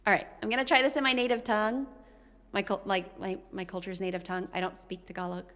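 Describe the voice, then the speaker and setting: A woman's voice: mid-range voice, level tone, fast talker. A young woman streaming as a VTuber, chatting in a rambling, self-deprecating way between songs while playing guitar. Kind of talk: casual live-stream chat between songs. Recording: long reverb with loud guitar.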